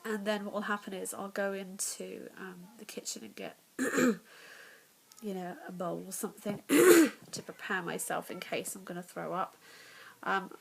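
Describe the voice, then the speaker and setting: Congested English woman chatting softly. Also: medium pitch, measured pace, gravelly, a little hiss or hum, low-quality recording. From England. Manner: chatty, candid, often drops to a whisper. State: congested, nasal. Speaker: vlogger. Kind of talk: casual pregnancy vlog. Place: home, in the evening.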